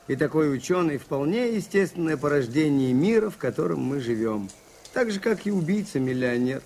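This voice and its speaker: An actor playing a shrewd, calm, gently ironic priest detective in a radio play, with understated delivery. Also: male voice, fairly high pitch, normal speed. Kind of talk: 1980s radio play acting.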